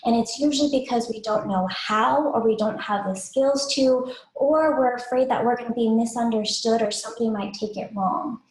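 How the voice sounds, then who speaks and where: A woman with a soft voice presenting a webinar to parents in an informal, explanatory way, posing questions as she goes. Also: on the high side, moderate pace, slight background noise. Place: indoors, online webinar. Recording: roomy, echoey webinar audio.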